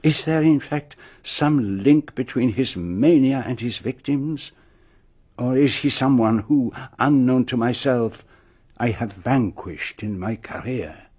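An actor playing a courteous, methodical Belgian detective in a radio drama, with a French-speaking Belgian accent and formal, precise phrasing. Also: male voice, mid-range voice, takes it slowly, a little mellow, breathy edge. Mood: brooding.

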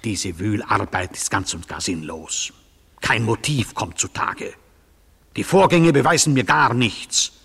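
Stern judge in a long-echoing hall. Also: male voice, lowish voice, expressive, a little slow, creaky, fairly bright tone, slightly rough, full character voice. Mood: sceptical, impatient. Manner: curt, sceptical, probing. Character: stern, impatient male judge questioning a suspect. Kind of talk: literary German radio play. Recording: long reverberant courtroom-like hall.

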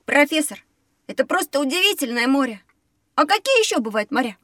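A woman's voice: high voice, big pitch swings, brisk, recorded from a distance, over music. A woman voicing a curious, cheeky little boy in an educational children's audio play, eager and plain-spoken. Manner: eager, simple, exclamatory.

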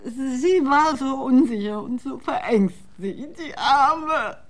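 A woman's voice: high-pitched, expressive, slow pace. A bitter, drunk bar woman. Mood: wistful. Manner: cursing, scornful, breaks into tears. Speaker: voice actor. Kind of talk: radio drama acting.

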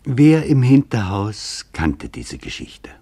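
An actor as a sober investigator narrating his interviews in a scripted radio documentary drama, measured and grave, with a husky voice. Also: medium pitch, wildly swooping pitch, mellow, exaggerated voice.

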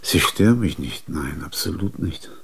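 An actor playing a weary, bitter old man who talks slowly and reflectively in a radio drama monologue, musing aloud about memory. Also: sits low, lively intonation, creaky, husky, exaggerated voice. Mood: brooding. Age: older. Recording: piano music behind. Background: piano playing.

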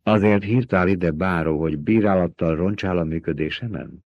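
A man puts on a cunning, bitter male adviser for an audiobook. He talks in a sullen, clipped way with sarcastic bite and a lively pitch. The recording is dry and close. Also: dry recording, highs cut off.

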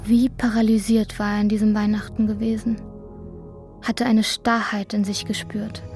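Casting: female narrator, medium pitch, measured literary delivery, introspective, soft music underneath. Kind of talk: literary scripted narration in a radio drama.

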